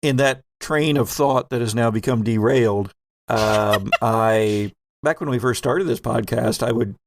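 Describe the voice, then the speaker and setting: Slow, deliberate man narrating as game master. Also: medium pitch, a little hiss or hum. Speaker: host and game master of an actual-play podcast. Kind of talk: narrating a tabletop game with casual banter.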